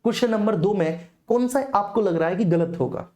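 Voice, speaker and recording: A woman's voice: mid-range voice, fairly quick, warm tone. A woman giving an informal exam-prep lecture as a coaching teacher, repeating key phrases for emphasis and often checking in with students.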